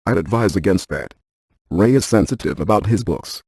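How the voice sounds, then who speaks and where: A man playing a brainy, stiff ghost-hunting scientist in a video game, speaking in a precise, clinical deadpan. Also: sits low, normal speed, breathy edge, dry recording, studio quality, heavily acted. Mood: deadpan, analytical.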